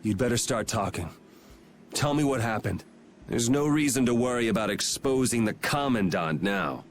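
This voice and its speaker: A man's voice: medium pitch, expressive, normal speed, slight background noise, lightly acted. An actor playing a brash, cynical young swordsman with a blunt, sarcastic manner in a video game. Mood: accusing. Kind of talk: English video game voice acting.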